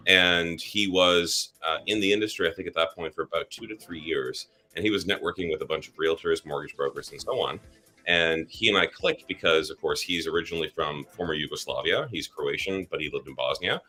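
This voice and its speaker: A man's voice: a bass voice, a little fast, pushed and bright. A man who grew up in Germany, hosting a live stream as a realtor, chatting in a rambling way with many fillers. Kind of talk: casual livestream chat.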